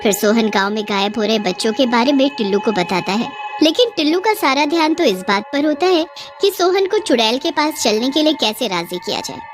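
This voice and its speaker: A woman's voice: a little high, lively intonation, hardly any pauses, music in the background. A woman storyteller delivering scripted narration for a tale.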